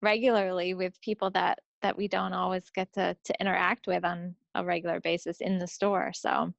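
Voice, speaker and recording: A woman's voice: fairly low pitch, level tone, normal speed, creaky, no echo. A woman chatting casually as a friendly podcast co-host, speaking unscripted on slightly lo-fi audio. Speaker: co-host of a knitting and crafts podcast.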